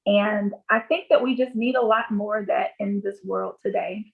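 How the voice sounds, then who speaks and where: A woman's voice: mid-range voice, steady tone, moderate pace, creaky. A woman giving an earnest, encouraging motivational talk to close a founders' session. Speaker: speaker. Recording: tinny phone-like call audio.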